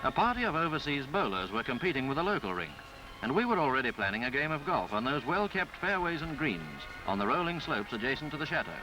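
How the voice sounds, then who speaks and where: A man reading a scripted 1950s newsreel travelogue in a formal, florid, measured way. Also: mid-range voice, big pitch swings, poor audio. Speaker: newsreel narrator. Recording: 1950s film soundtrack with background music. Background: music.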